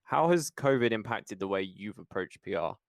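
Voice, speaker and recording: A man's voice: fairly low pitch, lively intonation, normal speed, dry recording. A man hosting a podcast interview in a casual, conversational style.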